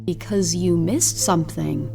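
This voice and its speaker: A woman's voice: on the low side, measured pace, vocal fry, warm tone, faint music behind. An actress playing a guarded, defensive young mother in an audio drama, speaking insistently. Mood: challenging. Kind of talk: audio fiction acting.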